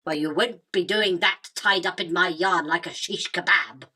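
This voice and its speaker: Spider queen for radio play, female actor: crisp-edged bright tone, theatrical, self-important and scornful, always hungry. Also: on the low side, moderate pace. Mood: dismissive. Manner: imperious, dismissive, self-justifying. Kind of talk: theatrical radio drama acting.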